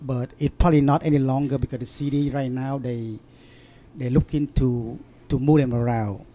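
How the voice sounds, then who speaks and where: A man in an interview, telling a story in halting, broken English with many fillers and restarts. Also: medium pitch, steady tone, dark tone. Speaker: interview guest. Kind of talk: casual storytelling.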